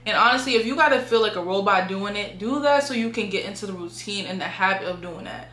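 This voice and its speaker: A woman's voice: mid-range voice, fast, fairly bright tone, roomy sound. A young woman giving frank, chatty advice straight to her viewers, full of "like" and "y'all". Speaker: beauty and lifestyle vlogger. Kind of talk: casual advice monologue to camera.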